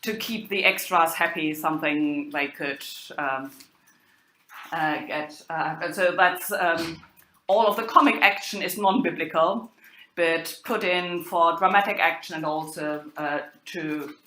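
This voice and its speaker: A woman giving an academic lecture in a halting way, pausing and hesitating often. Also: low voice, bright, forceful tone, slight background noise. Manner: halting delivery with long hesitations. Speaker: lecturer, university professor. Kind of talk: spoken lecture on old drama texts. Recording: echoey room.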